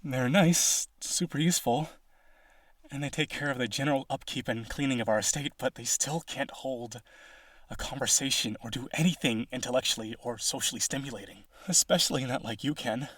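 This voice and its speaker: A man playing a possessive, needy vampire aristocrat in a romantic audio roleplay, coaxing the listener with eager theatrical delivery and a breathy voice. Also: medium pitch, brisk, a bit bright, dry recording, lightly acted. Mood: proud, coaxing.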